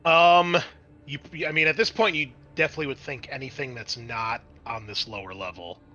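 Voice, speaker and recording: A man's voice: mid-range voice, brisk, bright, forceful tone, lo-fi sound. A man working as a game master, narrating a tabletop role-playing game in a conversational, improvised way.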